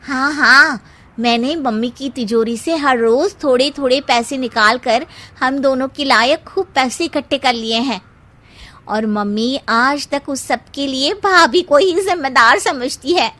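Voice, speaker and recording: A woman voicing a sly, scheming young sister-in-law with a snide manner in an animated moral story. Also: high voice, a little slow, voice pushed up. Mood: secretive, eager. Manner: snide, conniving.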